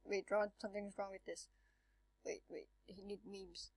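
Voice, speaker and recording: A woman's voice: mid-range voice, flat delivery, moderate pace, no echo. A woman who does amateur comic dubs, talking casually and self-mockingly, somewhat flustered. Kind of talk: video intro and blooper chatter.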